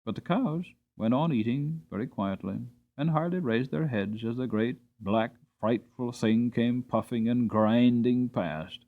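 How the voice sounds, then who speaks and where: A man's voice: medium pitch, unhurried, narrow, tinny audio, close to an everyday voice. A man voicing a spirited, earnest horse recalling his youth in a dramatic, emphatic audiobook reading. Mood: astonished, fearful.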